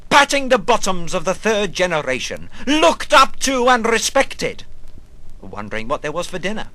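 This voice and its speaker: Brooding old wanderer, swooping, slow musing. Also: male voice, on the high side, pushed and bright, exaggerated voice, rough recording. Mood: wistful. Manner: halting, musing, theatrical delivery with sudden swells. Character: weary old male wanderer, brooding, rambling, morbidly wry. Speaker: actor reading a literary monologue. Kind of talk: dramatic literary monologue reading.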